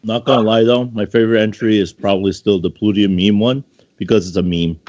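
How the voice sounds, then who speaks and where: A man's voice: on the low side, steady tone, a little fast, darkish tone. A man judging an online contest as co-host, chatting casually and without a script in a laid-back, joking way. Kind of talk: casual unscripted chat. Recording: dry, muffled lo-fi voice recording.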